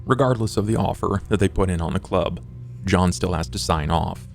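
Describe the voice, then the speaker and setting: Man playing Duke, a deep, gravelly, courtly vampire. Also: soft background music. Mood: measured. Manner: ornate, formal, measured. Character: courtly, macabre male vampire fixer called Duke. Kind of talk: improvised tabletop roleplay drama.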